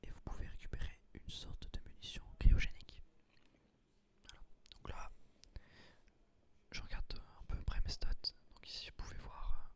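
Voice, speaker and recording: A man quietly explains his gameplay in a casual, breathy ASMR whisper. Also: normal speed, pauses often. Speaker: gaming video creator.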